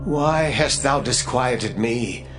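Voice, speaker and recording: An actor playing the stern spirit of a dead old prophet, solemn and deliberate in a dramatized scripture reading. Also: male voice, mid-range voice, lively intonation, rough recording. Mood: stern, grave. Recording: music bed behind the voice.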